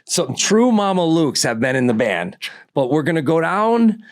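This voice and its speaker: A man's voice: fairly high pitch, very animated, moderate pace, no room sound, studio quality. A man hosting a casual interview, chatting and asking loose follow-up questions. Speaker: podcast host.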